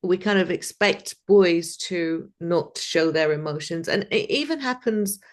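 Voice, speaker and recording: A woman's voice: on the low side, a little slow. A woman from Iran who hosts a casual discussion, speaking reflectively with many fillers.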